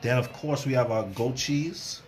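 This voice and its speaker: A man's voice: mid-range voice, measured pace. A man presents a casual cooking demo as a chef. He is chatty, with a mid-range voice and a habit of repeating phrases for emphasis. Light background noise sits behind him. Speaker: chef and cooking show host.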